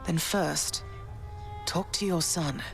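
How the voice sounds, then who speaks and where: Casting: calm, forgiving game queen of the underworld, very measured pace, regal authority without harshness. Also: low-pitched, creaky, heavily acted. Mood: composed, firm. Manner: poised, gently firm. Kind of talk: scripted video game voice acting. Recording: music behind the voice. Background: game music.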